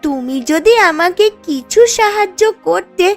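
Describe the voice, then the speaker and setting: A woman's voice: very high-pitched, lively intonation, unhurried, gravelly, a bit bright, heavily acted. A woman voicing a poor, earnest village girl who pleads, in a children's cartoon story. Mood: pleading. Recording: music playing under the voice.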